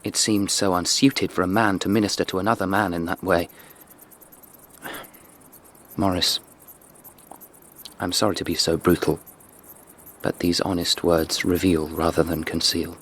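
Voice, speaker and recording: A man's voice: low voice, little pitch movement, a little slow, long pauses, fairly bright tone. Troubled young upper-class Englishman. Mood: grave, confessional. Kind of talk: radio drama acting.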